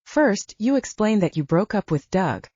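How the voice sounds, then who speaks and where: Woman acting a confident, animated romantic leading man. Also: medium pitch, normal speed, no echo, muffled recording. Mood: playful, persuasive. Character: rich, confident man, romantic and teasing, conflicted. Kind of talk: audiobook dialogue acting.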